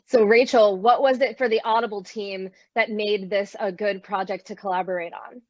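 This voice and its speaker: A woman's voice: mid-range voice, fairly even intonation, normal speed, dry recording, lo-fi sound. A woman moderating a panel interview as a reporter over a remote video call.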